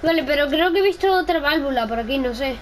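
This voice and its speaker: High-pitched woman playing a game. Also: animated, quick pace. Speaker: video game player. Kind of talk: casual gaming chat with a teammate. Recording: slightly noisy game voice chat.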